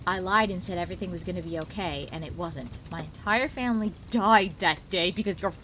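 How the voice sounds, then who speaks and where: A woman's voice: fairly low pitch, brisk, background noise, lightly acted. A woman playing an unhinged, gleeful female supervillain in an ASMR roleplay, teasing a captive in a theatrical, giggly sing-song way. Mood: bitter, angry.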